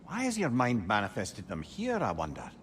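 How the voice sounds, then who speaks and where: An actor playing a wry, talkative old adviser, wordy and philosophical, in a video game. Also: male voice, medium pitch, wildly swooping pitch, moderate pace, heavy background noise. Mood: inquisitive. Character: wry, talkative, counselling old male companion. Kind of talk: dramatic video game acting.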